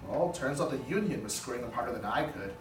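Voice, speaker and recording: A man's voice: medium pitch, animated, quick pace, close to an everyday voice. A man playing a defensive, spiteful lawyer with a sarcastic, profane manner in a comedic script read. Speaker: actor at a script table read. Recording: roomy, distant mic, faint noise.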